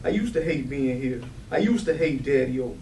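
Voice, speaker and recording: An actor in a staged play reading, playing a weary, protective older brother who is quietly resolute and plain-spoken. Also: male voice, mid-range voice, animated, moderate pace, lightly acted. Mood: earnest, resolute. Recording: roomy, distant mic, faint background noise.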